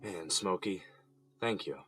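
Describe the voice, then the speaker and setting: A man's voice: low voice, slow pace, a bit bright, husky, full character voice. An American man playing an earnest, troubled prisoner who insists on his innocence, speaking plainly in an audio drama. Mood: reflective, grateful. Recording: noticeable background ambient noise.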